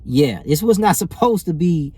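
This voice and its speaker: Casual storyteller: man, late thirties, raspy edge, fairly light pitch, animated and conversational. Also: normal speed. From rural Alabama, US. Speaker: vlogger telling personal stories.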